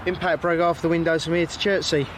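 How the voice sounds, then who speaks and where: An easygoing British policeman played by a male actor in an audio drama. He is matter-of-fact and sounds set back from the mic amid clear outdoor traffic noise. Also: fairly high pitch, flat delivery, quick pace, recorded from a distance, natural voice. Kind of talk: naturalistic audio drama acting.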